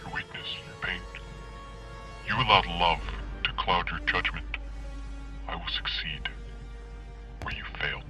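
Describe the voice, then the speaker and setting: A man playing a brooding young dark-side warrior in a space audio drama, speaking in a slow, menacing, breathy hush. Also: deep voice, heavily acted. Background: loud music.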